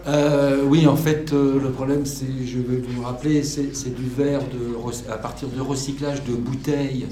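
A man's voice: mid-range voice, flat delivery, normal speed, lightly acted. A man playing an engineer who confidently explains self-cleaning technology. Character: cheerful male engineer. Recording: echoey room with loud noise.

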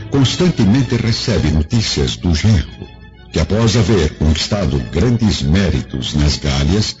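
A man delivers dramatic scripted narration for a radio serial. His voice is very low and rises and falls expressively, with a solemn tone. The sound is slightly dull, with music clearly underneath. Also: a little mellow. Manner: solemn, ornate, storytelling.

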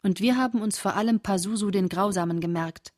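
Husky, intimate woman diarist played by an actress. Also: medium pitch, darkish tone, close to an everyday voice. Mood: reflective. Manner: measured, intimate, literary delivery. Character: reflective French woman reading her 1945 diary of friends. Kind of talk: literary radio drama narration.

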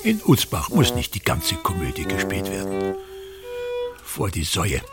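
An actor playing a pompous, self-pitying aging actor-playwright in a radio drama, declaiming in brooding, halting fragments with grand scorn and a gravelly voice. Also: male voice, low-pitched, big pitch swings, loud music behind the voice. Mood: disdainful. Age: older. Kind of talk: theatrical radio drama monologue.

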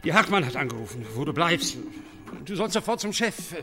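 A man's voice: on the high side, very animated, fairly quick, pushed and bright. An actor playing an anxious, fussy, officious male office colleague in a German radio play, delivering an agitated summons.

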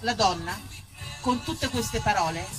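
A woman from Tuscany performing a theatrical monologue as an actress and storyteller, expressive and emphatic, dwelling on single words. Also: mid-range voice, unhurried, music in the background. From Tuscany, Italy. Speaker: stage actress, writer and storyteller.

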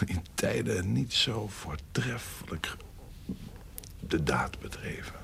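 A deep, gravelly scheming courtier. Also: male voice, noisy background, heavily acted. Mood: sensual, sated. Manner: insinuating, savouring words, slipping into hushed tones. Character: scheming, lecherous male courtier and secret conspirator. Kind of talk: audio drama acting in Dutch period piece.

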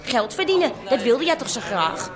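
A woman's voice: fairly high pitch, sing-song, moderate pace. An actress playing a defiant, sarcastic young working mother tending bar in a radio drama. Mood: defiant, reproachful. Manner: sarcastic, combative. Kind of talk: naturalistic radio drama dialogue. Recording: busy pub crowd and music.

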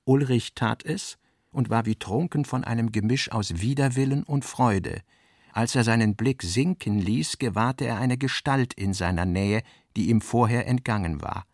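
A man's voice: mid-range voice, no room sound, clean studio sound. A man reading literary narration for a radio drama in a scripted style.

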